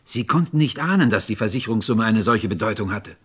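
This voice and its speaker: A probing police inspector, husky and expressive. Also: male voice, on the low side. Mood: patient, pressing. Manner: measured, courteous, persuasive questioning. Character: male police inspector, patient, probing, insistent. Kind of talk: German radio crime drama acting.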